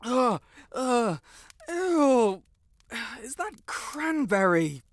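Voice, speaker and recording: A man's voice: very high-pitched, big pitch swings, drawn-out words, no room sound, exaggerated voice. A man playing a pompous, melodramatic wizard in an audio roleplay, with flowery, theatrical speech and blurted self-corrections. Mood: melodramatic, aggrieved. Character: haughty, melodramatic male wizard, secretly fond tsundere. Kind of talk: scripted audio roleplay to the listener.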